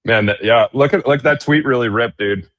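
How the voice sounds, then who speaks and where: A man's voice: mid-range voice, moderate pace, a bit bright. A man co-hosting a casual podcast, joking around and trading banter. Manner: joking, says "dude" a lot. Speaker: podcast co-host.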